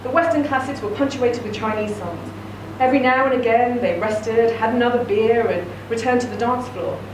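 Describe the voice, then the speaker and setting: A woman's voice: a little high, moderate pace. A woman reading a short story aloud at a live reading event, narrating steadily. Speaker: reader. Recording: echoey room, distant mic, noisy.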